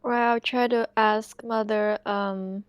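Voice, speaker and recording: Unhurried woman, dry close sound. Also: a little high, fairly even intonation, clean tone, no echo. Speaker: tabletop game player. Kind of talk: casual game chat.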